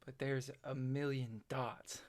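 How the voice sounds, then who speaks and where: Anxious young country boy, played by a man, hesitant and hushed, distant from the mic, stage drama. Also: medium pitch, level tone, natural voice. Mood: shy, puzzled. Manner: halting, quiet, stammering. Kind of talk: staged script reading.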